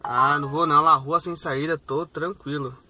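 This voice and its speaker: A man's voice: a little high, steady tone, normal speed, sharp tone, soft background music. A young man, vlogging from his car as a rideshare driver and checking in with his viewers in an upbeat way. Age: young adult. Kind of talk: casual vlog to camera. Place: inside a car, day and night. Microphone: phone camera on dashboard. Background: faint car and road noise.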